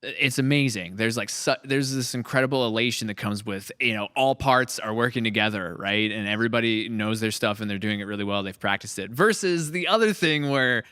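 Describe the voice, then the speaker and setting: Smooth-voiced man hosting a podcast. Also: lowish voice, brisk, hardly any pauses, dry recording, clean studio sound. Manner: chatty, upbeat, animated quoting. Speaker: podcast host. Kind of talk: casual podcast chat.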